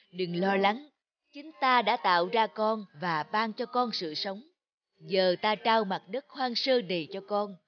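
A woman voicing a benevolent almighty creator in a cartoon, calm, measured and solemn. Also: medium pitch, dull audio, close to an everyday voice.

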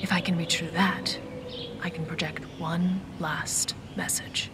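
An actress playing a mysterious, ominous watcher of dreams in a fantasy audio drama, speaking in a cryptic, deliberate way. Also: female voice, on the low side, level tone, over music, close to an everyday voice. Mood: grave, secretive.

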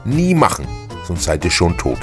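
A middle-aged man with a husky voice hosts a podcast monologue, chatting informally and giving listeners direct advice. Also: low-pitched, wildly swooping pitch, normal speed, music in the background. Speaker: podcast host. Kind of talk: casual spoken podcast monologue addressed to listeners.

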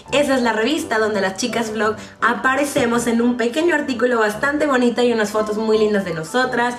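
Young Mexican woman vlogging in an echoey room. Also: fairly high pitch. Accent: Mexican Spanish. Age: young adult. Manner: playful, expressive. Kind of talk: chatty scripted advice vlog to camera. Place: small bedroom, indoors. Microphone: camera mic at a short distance. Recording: roomy bedroom, distant camera mic, music.

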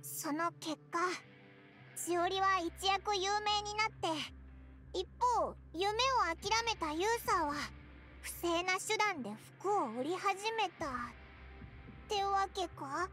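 A woman voicing a small, blunt, excitable fairy companion in an anime game, with a squeaky childlike voice and boyish, exclamatory speech. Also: slow, soft background music, exaggerated voice. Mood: curious.

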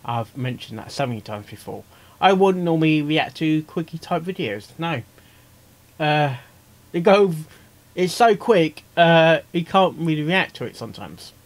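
A man's voice: on the high side, expressive, a little slow. A man hosting a casual reaction video, talking in a rambling, repetitive way. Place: small cluttered room indoors.

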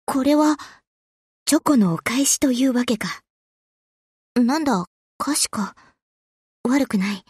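A woman's voice: high-pitched, lively intonation, takes it slowly, pauses often, darkish tone, breathy edge, no echo, clean studio sound, full character voice. A woman voicing a cool, tomboyish young anime warship girl in an offhand, blunt game-acting style. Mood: cool, satisfied.

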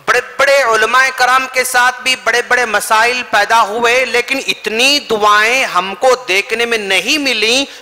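A man preaches a Friday sermon in a very high, bright voice, pressing each point through repetition. His speech is slow and deliberate, heard through a headset mic. A long reverb from the mosque hall trails his words. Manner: emphatic, repetitive, gesturing while preaching. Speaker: imam giving Friday sermon.

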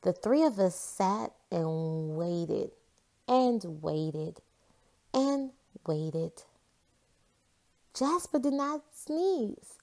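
A woman reads a children's storybook aloud in an expressive narrating style. She takes her time, and her medium-pitched voice rises and falls in a very lively way. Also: drawn-out words, long pauses. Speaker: storybook reader.

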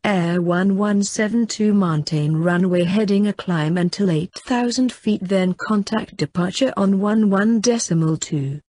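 A woman reading scripted air traffic control instructions in a measured, formulaic way. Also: medium pitch, mellow, dry recording.

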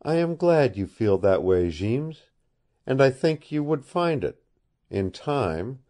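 Storybook: man voicing a tired, secretive young woman of about twenty, soft and pleading, pitched high. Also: big pitch swings, takes it slowly. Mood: weary pleading.

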